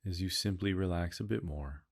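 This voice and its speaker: A man leading a guided meditation, speaking in slow, soothing phrases and repeating gentle cues. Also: sits low.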